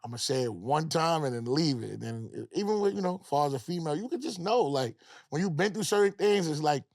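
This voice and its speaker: A man's voice: a little high, expressive, quick pace, darkish tone, no echo, studio quality. A man, a music artist and podcast guest, talking in a slangy, rambling way full of fillers. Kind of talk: casual conversation.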